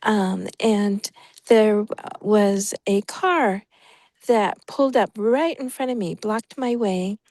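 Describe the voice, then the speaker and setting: A woman's voice: medium pitch, takes it slowly, darkish tone, dry recording. A woman on a video call telling a personal story in a casual, rambling way, often stopping to search for words. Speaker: prayer group participant.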